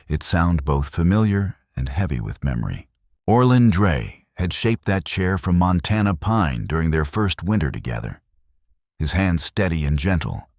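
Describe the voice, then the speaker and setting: Very deep-voiced male Western storyteller. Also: dry recording, studio quality. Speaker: storyteller, narrator. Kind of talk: scripted dramatic Western story narration.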